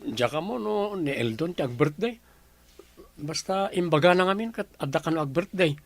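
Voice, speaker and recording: A man's voice: fairly high pitch, animated, normal speed. An actor playing an earnest, polite young man with an Ilocano accent in a radio drama. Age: young adult. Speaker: grandson and boyfriend character.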